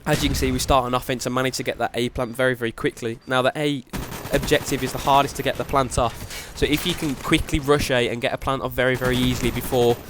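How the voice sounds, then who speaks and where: Fast-talking nasal man with a cold. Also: medium pitch, noisy background. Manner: quick, even delivery with repeated "very, very". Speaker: gaming commentator. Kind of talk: gameplay commentary with tips. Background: game gunfire and explosions.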